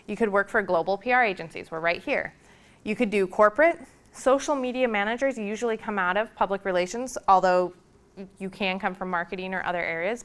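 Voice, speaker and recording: A woman lecturer speaking to her students, earnest and encouraging, running through lists and questions to make her point. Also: mid-range voice. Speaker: public relations lecturer. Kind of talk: classroom lecture.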